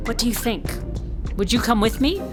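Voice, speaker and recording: A woman's voice: a little high, animated, moderate pace, music in the background, close to an everyday voice. A woman acting a confident, bold touring musician in a radio drama. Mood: confident, coaxing.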